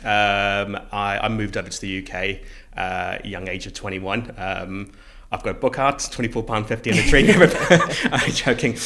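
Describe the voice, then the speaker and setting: A man's voice: lowish voice, bright, forceful tone. A middle-aged man from South Africa speaking conversationally as the guest in a podcast interview. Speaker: interview guest, recruitment business co-founder.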